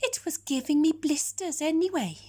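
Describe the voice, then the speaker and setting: A woman's voice: high-pitched, moderate pace, mellow, pitched above the performer's own voice, close to an everyday voice. A woman voicing a kindly, cheerful and proud male giant in a children's storybook, with a reassuring manner.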